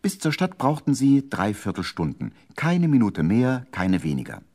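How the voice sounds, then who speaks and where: A man's voice: medium pitch, huge pitch swings, normal speed, darkish tone. A man narrating a children's radio play in a playful, dramatic storytelling manner.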